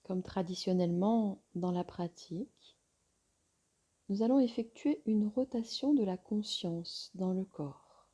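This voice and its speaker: A woman yoga teacher guiding a relaxation meditation in a soft, soothing, breathy voice with long pauses. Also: mid-range voice.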